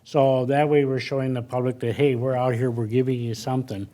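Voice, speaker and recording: A man's voice: mid-range voice, level tone, a little fast, warm tone. Older man speaking plainly at a meeting. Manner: plain-spoken, thinks aloud with fillers. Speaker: parks commissioner. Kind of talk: public meeting discussion. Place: city council chamber. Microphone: desk microphone.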